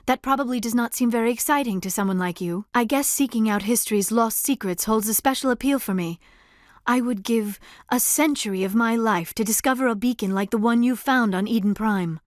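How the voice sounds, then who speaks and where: A woman's voice: a little high, dry recording, studio quality, natural voice. A woman voicing a shy, earnest alien scientist in a video game, speaking in careful, formal sentences with precise diction. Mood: earnest, fascinated. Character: earnest, shy alien female scientist, socially awkward, scholarly.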